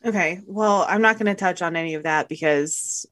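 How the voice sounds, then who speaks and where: A woman's voice: fairly low pitch, brisk, fairly bright tone, no room sound. A woman co-hosting a podcast, telling stories in a chatty, self-deprecating way. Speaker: podcast co-host. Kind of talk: casual chat.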